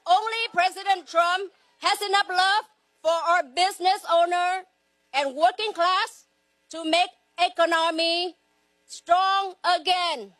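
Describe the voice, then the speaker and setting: A woman delivers a rally speech in a slow, measured chant, returning to the same phrase again and again. Her voice sits very high, and the pace stays deliberately unhurried. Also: a bit bright, no echo. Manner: slow, repetitive, declaiming. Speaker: rally speaker.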